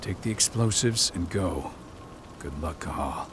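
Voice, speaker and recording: An actor playing a stern, proud veteran werewolf pack leader in a video game, speaking in a measured, firm manner. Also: male voice, low voice, animated, noisy background. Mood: businesslike. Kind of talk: video game cutscene acting.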